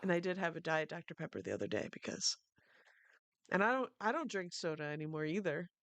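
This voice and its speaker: A woman's voice: low-pitched, lively intonation, a little fast, fairly bright tone, dry recording. A woman co-hosting a podcast in casual chat, congested and giggling often. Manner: giggly, chatty. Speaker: podcast co-host.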